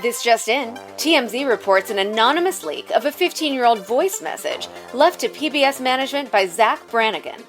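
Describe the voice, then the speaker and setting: An actress playing a brisk entertainment news anchor reading a bulletin in an audio drama. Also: female voice, a little high, lively intonation, faint music behind, close to an everyday voice. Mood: announcing.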